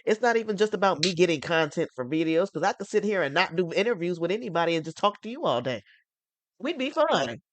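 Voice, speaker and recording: A woman's voice: on the low side, sing-song, fast, no echo. Congested woman hosting a porch chat. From North Carolina. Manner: rambling, enthusiastic, restarts often. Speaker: podcast host.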